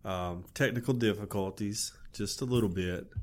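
A man's voice: lowish voice, moderate pace. A man co-hosting a podcast in casual, rambling chat, laughing often, with a slight head cold. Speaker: podcast co-host.

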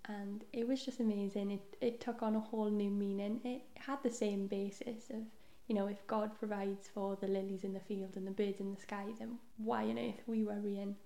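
Woman, gravelly and mellow. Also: mid-range voice, level tone, moderate pace, few pauses. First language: Welsh. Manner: chatty, self-deprecating, often trails into soft asides. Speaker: podcast guest. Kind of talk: casual chat. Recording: roomy echo.

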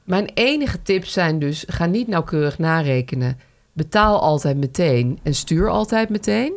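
A woman co-hosting a podcast, chatting casually, reading out listener stories and quickly sounding appalled, with frequent laughs. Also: fairly low pitch, expressive. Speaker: podcast co-host.

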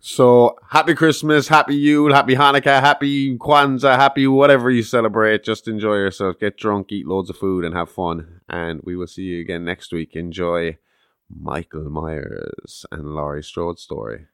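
A man hosting a podcast in casual chat, joking and swearing freely, with a deep voice on a close podcast mic. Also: steady tone, moderate pace, a bit bright, clean tone, no echo. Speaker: podcast host.

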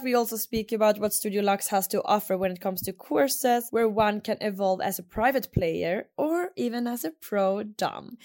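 A woman speaking as a podcast host, introducing and interviewing a guest. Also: fairly high pitch. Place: studio room, in person.

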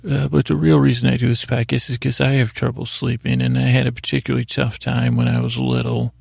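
A man hosting a bedtime podcast with a gravelly, husky voice, rambling and halting in a soothing, unhurried, self-deprecating way. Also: mid-range voice, little pitch movement, darkish tone, no echo. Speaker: podcast host. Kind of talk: meandering bedtime podcast monologue.

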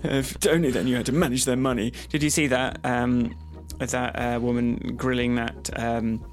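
A man hosting a podcast in a loose, casual chat. He rambles, jokes and laughs often, in a voice of ordinary middle pitch. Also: flat delivery, moderate pace. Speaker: podcast host.

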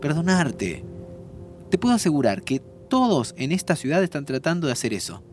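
An actor playing a young time traveller, a loyal and frank friend, with a Rioplatense Argentine accent and informal, expressive talk in an audio drama. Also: male voice, a little high, normal speed, soft background music. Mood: encouraging. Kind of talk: naturalistic audio drama acting.

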